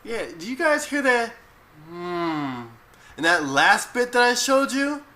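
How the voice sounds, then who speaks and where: A man's voice: extremely high pitch, big pitch swings, slow, bright, forceful tone. A man giving informal, opinionated commentary as an internet video commentator.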